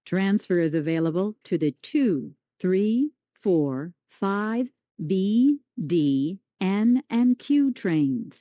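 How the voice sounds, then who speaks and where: Slow, dull-sounding female transit announcer. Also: medium pitch, lively intonation, no room sound. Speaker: subway train announcer. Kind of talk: scripted automated transit announcement.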